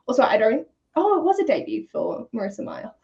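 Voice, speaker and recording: A woman's voice: on the high side, huge pitch swings, fairly quick, creaky, dark tone, highs cut off. A woman speaking informally as a co-host in a casual book discussion.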